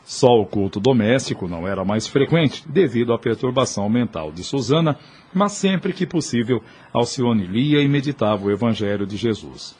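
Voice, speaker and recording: A man narrating an audio drama from a script in a measured, literary way. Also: medium pitch, lively intonation, darkish tone, slightly rough.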